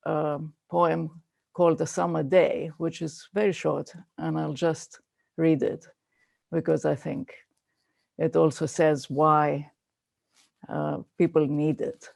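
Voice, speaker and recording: Creaky-voiced, thoughtful woman. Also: sits low, level tone, takes it slowly, darkish tone. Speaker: poetry reader. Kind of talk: casual explanatory talk on a video call. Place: video call.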